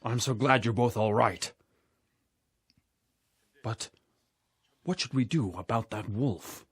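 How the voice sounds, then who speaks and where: An actor playing an earnest, suspicious hunter who protects others in a cartoon fairy tale, speaking deliberately. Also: male voice, mid-range voice, husky. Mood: relieved, concerned.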